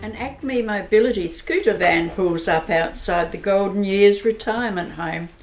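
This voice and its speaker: A woman's voice: mid-range voice, normal speed, faint music behind, rough recording. Woman narrating a radio play.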